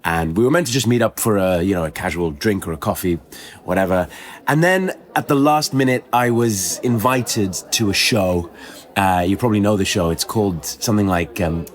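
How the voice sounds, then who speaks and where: A man telling a personal story as a podcast guest, conversational, with a hesitant, halting delivery. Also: lowish voice. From born in Kuwait, moved around the world. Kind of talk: conversational anecdote.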